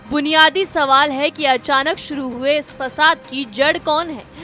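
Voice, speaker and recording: High-pitched, bright young woman newsreader. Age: young adult. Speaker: news anchor. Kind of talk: scripted news narration.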